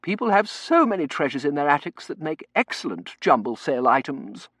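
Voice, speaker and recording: A man's voice: a little high, sing-song, brisk, a bit bright, no room sound, pitched above the performer's own voice. A man voicing a chatty, managing society matron in an audiobook, fluting and self-assured. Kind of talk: audiobook character voice.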